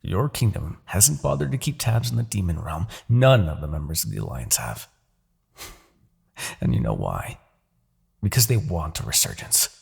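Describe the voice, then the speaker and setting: A low, gravelly demon king played by a man for a fantasy ASMR roleplay. He is a smug, seductive tyrant who taunts and manipulates. His delivery swings widely and theatrically, drawing out words with condescension. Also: big pitch swings, moderate pace, long pauses, darkish tone, heavily acted. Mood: smug, mocking.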